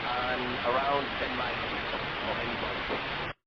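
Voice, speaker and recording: A man's voice: mid-range voice, little pitch movement, muffled recording. Male pilot on very noisy, broken radio. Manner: broken, halting phrasing. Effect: radio transmission.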